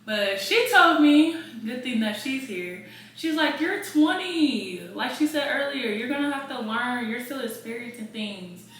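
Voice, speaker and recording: A woman's voice: high voice, lively intonation, unhurried, fairly bright tone. A twenty-year-old woman chatting casually and candidly, repeating phrases for emphasis. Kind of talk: casual chat. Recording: roomy echo, distant mic, faint noise.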